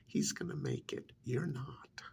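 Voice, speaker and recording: A calm, menacing vampire emissary, acted by a man, speaks softly in a near whisper with heavy vocal fry. He is slow, deliberate and condescending, as in an intimate ASMR role-play. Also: mid-range voice, heavily acted. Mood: cold, quietly threatening. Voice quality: breathy, half-whispered, creaky.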